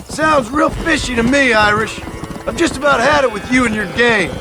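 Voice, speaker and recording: An actor playing a stern American cowboy gunslinger in a game, with a Western accent and a dry, threatening, sarcastic manner. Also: male voice, extremely high pitch, normal speed, noisy background. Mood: irritated, suspicious. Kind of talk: game voice acting.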